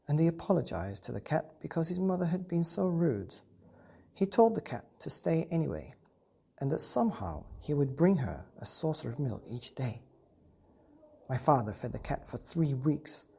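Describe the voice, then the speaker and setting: A woman's voice: a bass voice, vocal fry, dark tone, slightly rough. A woman reading a children's bedtime story expressively, speaking directly to young listeners. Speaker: bedtime story podcast reader.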